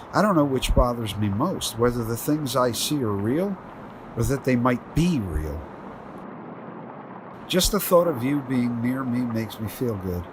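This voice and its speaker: An actor playing a lonely, grieving widower who muses wearily to himself in an audio drama. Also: male voice, medium pitch, noisy background, close to an everyday voice. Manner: talks to himself, reflective.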